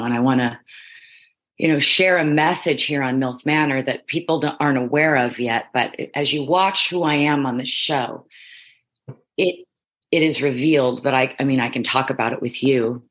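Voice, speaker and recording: Low husky middle-aged woman talking. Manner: rambling, emphatic, self-affirming. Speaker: reality show contestant guest. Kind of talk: casual interview chat.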